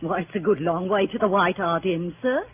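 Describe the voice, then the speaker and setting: A woman's voice: on the low side, normal speed, lightly acted. An actress playing a flustered, fluttery middle-aged woman in a Victorian comedy, in theatrical 1930s radio style. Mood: coy, respectful. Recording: scratchy phone-like 1930s radio recording.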